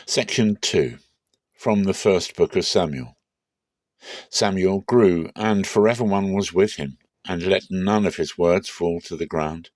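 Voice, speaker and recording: A man reading scripture aloud dramatically, in a measured, solemn and expressive way. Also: sits low, no room sound. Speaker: Bible reader.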